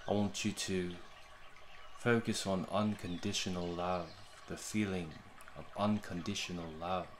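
Male meditation guide, gentle and even-toned, very slow delivery, faint background noise with water sounds. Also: deep voice. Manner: gentle, unhurried, with long pauses between short phrases. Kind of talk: guided meditation, soothing narration.

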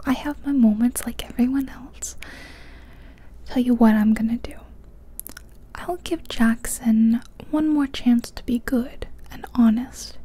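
A woman voicing a kindly, knowing old male candy shopkeeper in a soft whisper. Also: a little high, steady tone, measured pace, slightly rough. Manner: whispered, gentle, reassuring.

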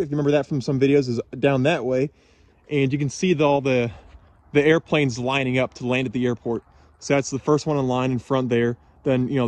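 A young man vlogging outdoors in a tidal marsh at night, talking excitedly and casually about the fish he catches. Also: medium pitch, no room sound. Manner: enthusiastic, chatty, slangy. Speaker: micro-fishing vlogger. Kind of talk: casual outdoor vlog narration.

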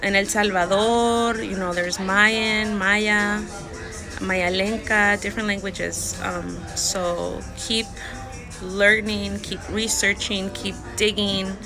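A woman in her thirties teaching vocabulary in a casual lesson, repeating each word slowly and carefully, recorded on a phone held close outdoors. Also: mid-range voice, steady tone, over music. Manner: slow, careful word-by-word repetition. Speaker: language learner sharing lessons. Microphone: phone held close, selfie framing.

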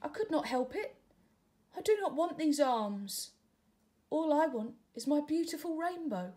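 A sweet young tadpole boy from a picture book, begging forgiveness in a high, earnest voice, as a woman reading aloud puts him on. The voice leaps up well above her own and rings out louder. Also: big pitch swings, measured pace. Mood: pleading. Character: lovesick young male tadpole, sweet and pleading.

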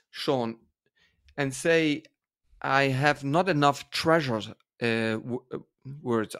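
A man with a Dutch accent, a dance expert co-hosting a podcast, who speaks haltingly and searches for words in English. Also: medium pitch, clean tone, dry recording, studio quality. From Netherlands. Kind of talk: casual conversation.

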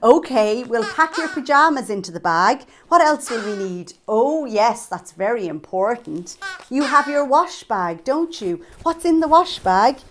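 A woman in her thirties hosts storytime for young children. Her voice sits fairly high and she speaks very slowly. The delivery is animated and exaggerated, with a sing-song lilt. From Ireland. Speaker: children's storytime host and entertainer. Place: small indoor set with fabric backdrop.

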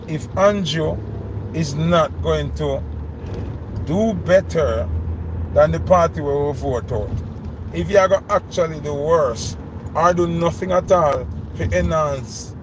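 A Jamaican man in his thirties rants on camera from the driver's seat, his gravelly voice pitched a little high. He hammers his points with repeated questions, slipping between Patois and English. The phone picks up noticeable car noise behind him. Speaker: vlogger. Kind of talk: ranting commentary. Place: inside a parked car. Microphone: phone camera held close. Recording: noisy car interior.

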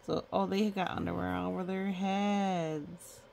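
A woman storyteller reads to children at an unhurried pace, with a noticeably creaky edge to her voice. She is playful, dropping in little comments and counting in a sing-song way. There is some background noise on the recording. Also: on the low side, steady tone, a little mellow. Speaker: children's book read-aloud storyteller. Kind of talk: picture book read-aloud with chatty asides to children.

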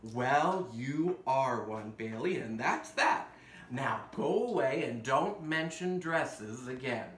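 A man voicing a gruff, dismissive father in a storybook, curt and scolding. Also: mid-range voice, expressive, slow pace, fairly bright tone, voice pushed down. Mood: stern. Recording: roomy echo, some background noise.

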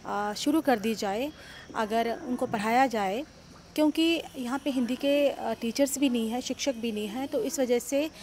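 Middle-aged woman, education official, press-mic interview; slightly high, measured, light noise. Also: darkish tone. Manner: measured, with frequent "uh" pauses. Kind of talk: news interview. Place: indoor hallway of an auditorium. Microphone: bunched press microphones.

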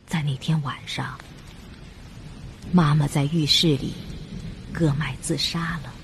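A woman's voice: low voice, steady tone, takes it slowly, slight background noise. An actress in a suspense radio drama playing a vengeful, sinister young woman narrating her family's tragedy. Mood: grim narration. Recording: music behind the voice.

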